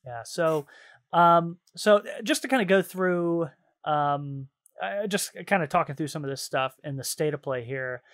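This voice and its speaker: Male podcast host, slightly high voice, loose and slangy gaming chat, easily amused. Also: measured pace, a bit bright. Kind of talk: casual chat about video games.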